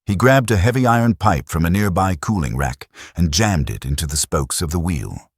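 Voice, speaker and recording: A man narrating a science fiction audiobook in a grave, dramatic storytelling manner, with a husky voice. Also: low-pitched, expressive, normal speed, dry recording, studio quality.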